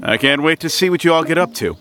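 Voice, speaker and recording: A man playing a smooth, theatrical witch hunter in an audio drama, by turns chummy and pompous. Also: a little high, lively intonation, fast, a bit bright. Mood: chummy, gossipy.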